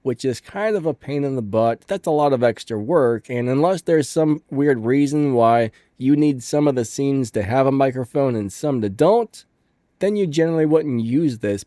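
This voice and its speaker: A man's voice: medium pitch, dark tone, no echo, studio quality. A man hosting a casual tech tutorial, upbeat and chatty, with stuffed-up sinuses, recorded at home with a fan running. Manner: upbeat, chatty, says "ba-bam".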